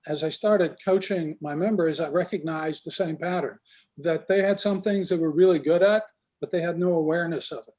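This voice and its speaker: A man in his sixties tells his own story at a thoughtful, unhurried pace. His voice sits a little higher than most men's. He stops often to search for words, filling the gaps with soft hesitations. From Israel, raised in Canada. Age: 60s. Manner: reflective, halting with many fillers. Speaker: guest, business coach. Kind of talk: personal life story in conversation.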